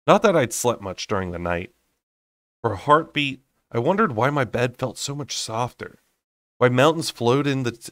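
A young adult man narrating a fantasy novel as an amateur audiobook reader, with deliberate, dramatic phrasing. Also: lowish voice, fairly bright tone, dry recording, studio quality. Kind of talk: unedited expressive audiobook reading of a fantasy novel.

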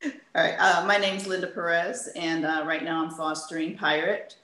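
A chatty woman with a very low voice introduces herself on a video call. She hesitates a little and fills gaps with "uh". Her words carry a roomy echo. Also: fairly even intonation, normal speed, pushed and bright. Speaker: volunteer. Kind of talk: casual introduction.

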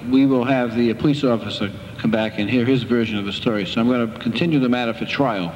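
A man's voice: mid-range voice, fast talker, darkish tone, dull audio. Older man, judge, stern lecturing tone, long courtroom reverb, noisy background. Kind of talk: courtroom proceedings. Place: municipal courtroom. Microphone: bench microphone.

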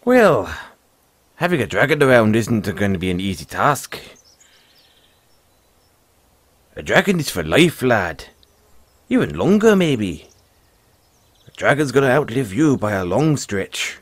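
Man acting folksy dragon tamer, lively pitch. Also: unhurried, no echo. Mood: amused, teasing. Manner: folksy, teasing, calls the listener lad. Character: gruff but friendly forest dragon tamer, male. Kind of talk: audio roleplay, speaking directly to the listener.

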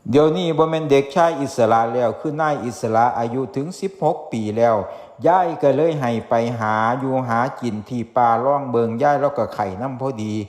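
A man's voice: mid-range voice, brisk, darkish tone. A man with a northeastern Thai Isan accent narrating a folk tale in a folksy way, speaking straight to his listeners. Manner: folksy, addressing listeners directly. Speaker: storyteller. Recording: echoey reverberant sound with music behind. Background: music.

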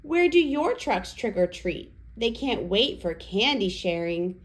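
A woman's voice: medium pitch, sing-song, unhurried. A woman in her thirties presenting to toddlers and reading picture books aloud in simple, exaggerated child-directed speech. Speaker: children's educational presenter and storyteller. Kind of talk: toddler lesson and picture-book read-aloud.

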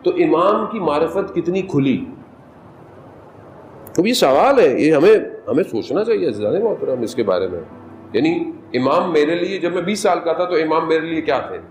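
A man giving a majlis sermon as a Shia cleric, moving from conversational preaching into impassioned, high-pitched appeals. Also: expressive, moderate pace, a little hiss or hum. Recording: echoey hall with music underneath.

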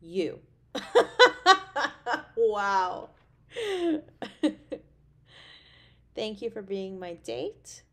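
Woman acting an expressive, affectionate girlfriend. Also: mid-range voice, drawn-out words, pauses often, exaggerated voice. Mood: delighted, affectionate. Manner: gushing, tender, expressive. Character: affectionate, playful, caring girlfriend on a home date. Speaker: voice actor. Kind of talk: intimate roleplay audio drama.

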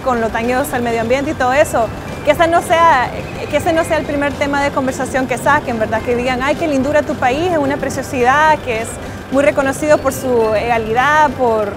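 A woman in her twenties from El Salvador, with a Salvadoran accent, giving an earnest, informal testimonial to camera. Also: high-pitched. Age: 20s. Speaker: interviewee, emigrant voter. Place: outdoors, covered airport walkway. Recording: music under the voice. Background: music.

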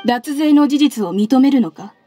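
An earnest young civil servant in an anime-style game. Her voice is high, with polite and sincere delivery. Faint music plays behind her respectful, proper speech. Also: lively intonation, unhurried, dark tone. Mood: stern.

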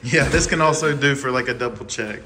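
A man talks casually from behind a phone camera. His pitch is average for a man. There is clear noise behind him in an empty house. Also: fairly quick, pushed and bright. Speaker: family vlogger filming the tour. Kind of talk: casual chat while filming. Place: empty house, indoors, briefly outside. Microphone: handheld phone, behind the camera.

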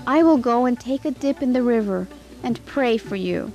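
Woman as a devoted queen, pitched up. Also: animated, normal speed, darkish tone, soft background music. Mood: consoling. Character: devoted, consoling young queen, tender wife.

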